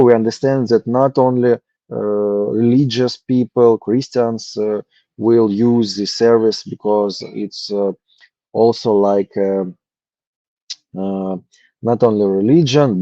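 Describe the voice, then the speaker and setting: Man from ukraine, low voice, slow halting pitch, dull lo-fi call audio. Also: dark tone, dry recording. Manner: halting, many uh fillers and restarts. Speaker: startup founder pitching. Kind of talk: hesitant online pitch. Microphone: video call.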